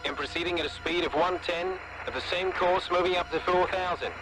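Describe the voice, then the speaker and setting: Actor as frightened young pilot, high-pitched voice. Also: male voice, fairly quick, lightly acted, rough recording. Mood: tense. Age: young adult. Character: frightened young male pilot radioing the tower. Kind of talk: dramatized radio re-enactment.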